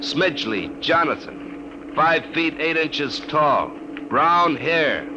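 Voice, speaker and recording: An actor playing an excitable male radio operator in a code room, brash and slangy, in 1950s radio drama style. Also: a little high, slow pace. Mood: official. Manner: brash, slangy, easily rattled. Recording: noisy 1950 radio broadcast.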